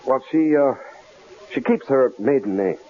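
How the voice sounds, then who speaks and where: An actor playing a nervous, cautious husband in a 1970s radio drama, hesitant and fretful, with a stammering delivery. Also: male voice, mid-range voice, animated, measured pace, natural voice. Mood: nervous, apologetic. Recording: dull, noisy old radio broadcast recording.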